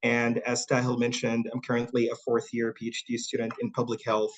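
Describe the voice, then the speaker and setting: This is a young man from Saudi Arabia speaking at an online panel. His voice sits at an ordinary middle pitch. He sounds thoughtful, and his talk is halting, full of pauses and repeated words. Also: monotone. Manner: thoughtful, hesitant with many fillers and repeats. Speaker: guest speaker.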